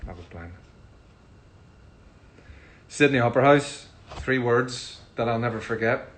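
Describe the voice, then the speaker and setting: A middle-aged man with a Northern Irish accent, casually answering fan questions in a relaxed, chatty way with frequent ums. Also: mid-range voice, unhurried. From Northern Ireland. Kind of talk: casual livestream Q&A.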